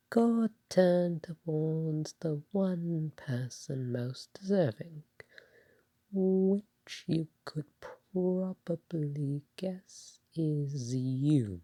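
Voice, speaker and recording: Woman acting as doting god of love, ASMR roleplay, slow and hesitant, mellow, tender, apologetic, soft half-whisper. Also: low-pitched, dry recording, heavily acted. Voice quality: soft, breathy, partly whispered. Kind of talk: ASMR roleplay spoken to the listener.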